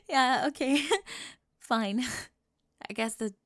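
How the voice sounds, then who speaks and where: A woman voicing a flustered teenage cheerleader bully with a secret crush, stammering and slipping into breathy half-whispers in an ASMR roleplay. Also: on the high side, a bit bright, no room sound, studio quality. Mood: flustered, bossy.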